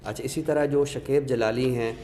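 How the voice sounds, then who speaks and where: A man with a Pakistani accent, giving a literary talk with recited verse in a measured, explanatory way with pauses. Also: medium pitch, steady tone, dark tone. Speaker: host of an Urdu literature show. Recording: echoey reverberant room, faint noise.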